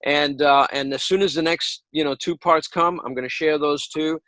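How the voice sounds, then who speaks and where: A man's voice: fairly high pitch, fairly even intonation, moderate pace, fairly bright tone, dry recording. A man hosting a casual interview, talking in an encouraging, complimentary way. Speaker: interview host.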